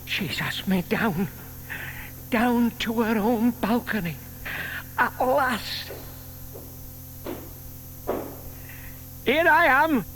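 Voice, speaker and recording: An actor playing a shy, lovestruck older British gentleman in an audio drama, polite, old-fashioned and eager. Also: extremely high pitch, lively intonation, takes it slowly, a little hiss or hum, exaggerated voice. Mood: smitten, excited.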